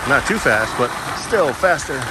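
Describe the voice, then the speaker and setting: A man's voice: mid-range voice, sing-song, unhurried, very noisy. Father cheering his kid down a ski slope. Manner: encouraging, coaching a child. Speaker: father filming his daughter skiing. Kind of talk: casual vlog narration while skiing. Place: outdoors on a snowy ski slope.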